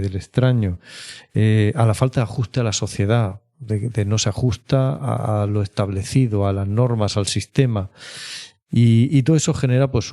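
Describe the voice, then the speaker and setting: Low, mellow-voiced Spanish man explaining. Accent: Spain. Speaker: psychology expert guest. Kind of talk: explanatory interview talk.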